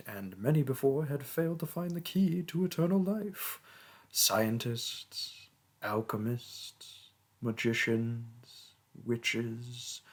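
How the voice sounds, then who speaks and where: Casting: male actor as a vain sorcerer king, slow, deliberate delivery, breathy tone, condescending and grandiose, for fantasy audio roleplay. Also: medium pitch. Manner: pompous, theatrical, condescending. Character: pompous, vain mage king, haughty toward his peasant subject. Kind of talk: theatrical roleplay monologue and storytelling.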